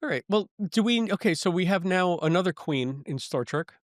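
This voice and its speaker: A man's voice: high voice, normal speed, no echo, clean studio sound. A man co-hosting a podcast, chatting casually about pop culture in a playful, jokey way. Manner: playful, jokey, animated reactions. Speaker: podcast co-host.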